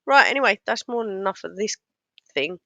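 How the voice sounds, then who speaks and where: A woman giving casual, scornful reaction commentary on a clip, a little hoarse as she loses her voice. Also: medium pitch, animated, brisk, sharp tone, no room sound. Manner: scornful, sarcastic, mocking. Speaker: YouTube commentator.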